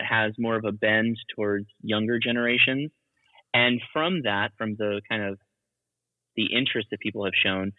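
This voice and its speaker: Male interview guest, slightly low-pitched, informal explainer, heard over a tinny phone-like connection. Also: dry recording. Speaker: guest, ham radio educator. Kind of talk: casual explanatory interview talk. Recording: tinny, phone-like call audio.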